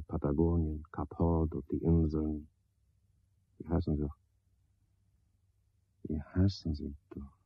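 An actor playing a pedantic, misanthropic night porter in a radio drama, a man with a husky, gravelly voice and a pompous, sardonic manner. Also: low voice, no echo, exaggerated voice. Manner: pompous, sardonic, ornate wording. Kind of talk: 1970s German radio drama monologue. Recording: dull, dry 1970s radio recording.

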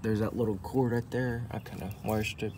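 A man's voice: fairly low pitch, steady tone, normal speed. A man giving a casual hands-on walkthrough in a rambling, hesitant way, often repeating his phrases. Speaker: vlogger showing a CB radio setup in a truck. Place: in and around a parked truck. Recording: noisy handheld recording with vehicle ambience.

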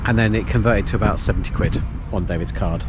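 A man's voice: on the low side, quick pace, noisy background. A middle-aged British man narrating a casual food and shopping vlog in a chatty, dry, self-mocking way, filmed on a handheld camera. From United Kingdom. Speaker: vlogger. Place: restaurant, car and store. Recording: music bed under the voice.

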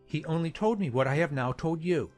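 Woman voicing a measured gentlemanly colonel over music. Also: low-pitched, expressive. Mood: genial, measured. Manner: formal, measured, understated. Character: genial gentlemanly English colonel, adult man, lightly jesting. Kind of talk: dramatized audiobook character dialogue.

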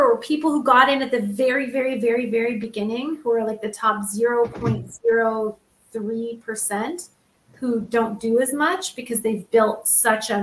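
Woman on a panel chat, bit high voice, earnest and factual, echoey sound. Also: a bit bright. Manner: earnest, piles up figures and restarts. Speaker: panel guest, former social seller. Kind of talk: casual panel discussion.

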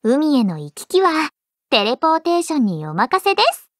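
High, bubbly anime girl. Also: wildly swooping pitch, moderate pace, dry recording, studio quality. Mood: cheerful, playful. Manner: playful, coy, cutesy. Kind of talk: Japanese anime mobile game character lines.

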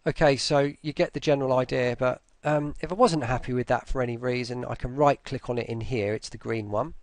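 Guy doing a casual software walkthrough, bit gravelly, dry close sound, thinks out loud. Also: medium pitch, steady tone, brisk, no echo. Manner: informal, thinking aloud as they go. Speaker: software tutorial presenter. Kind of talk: casual screen-recorded walkthrough.

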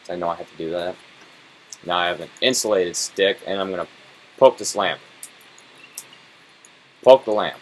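A man's voice: sits low, normal speed, long pauses, slight background noise. A man giving casual, foul-mouthed and grumbling commentary as he plays a video game. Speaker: let's-play host playing and commenting. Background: video game sound.

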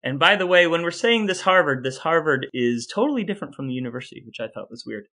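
A man's voice: on the high side, expressive, fast talker, pushed and bright. A man co-hosting a podcast in a casual, conversational, wry way, sometimes reading aloud. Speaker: podcast co-host.

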